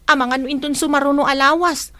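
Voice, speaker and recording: A woman's voice: sits high, fairly even intonation, quick pace, bright, forceful tone, dry recording. A woman playing an anxious young wife who longs for a child in a radio drama, speaking emotionally with an Ilocano accent. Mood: pleading, concerned. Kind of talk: Filipino radio drama acting.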